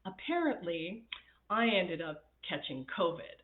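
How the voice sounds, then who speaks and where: A woman coaching on a live stream, chatty and laughing often, her voice raspy from a recent illness. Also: fairly low pitch, big pitch swings, a little slow. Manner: chatty, laughs often, reads viewer comments. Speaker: happiness coach. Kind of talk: casual live-stream talk. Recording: tinny phone-like sound in an echoey room.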